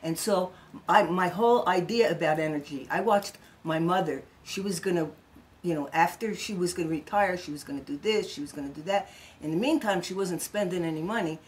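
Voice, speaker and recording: A woman's voice: on the low side, brisk, a little hiss or hum. A middle-aged woman talking as a guest in a casual spiritual chat, chatty and conversational, with frequent fillers.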